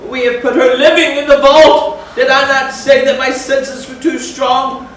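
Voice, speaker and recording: A man's voice: very high voice, little pitch movement, measured pace, fairly bright tone. An actor playing a terrified, guilt-ridden nervous man in a fevered, theatrical gothic stage reading. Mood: mounting terror. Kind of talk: dramatic readers' theatre of a gothic tale. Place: echoey indoor theatre, live stage reading. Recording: very echoey hall, distant mic, faint noise.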